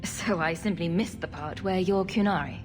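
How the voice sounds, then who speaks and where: A woman playing a composed, skeptical adventuring heroine in a video game, measured and questioning. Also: lowish voice, faint music behind, natural voice.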